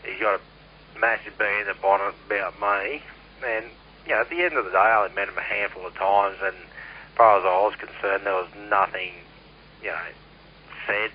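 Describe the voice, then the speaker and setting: A man's voice: lowish voice, normal speed. An Australian man chatting casually over CB radio in a blunt, rambling, sweary manner. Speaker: CB radio operator. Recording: muffled, noisy CB radio transmission.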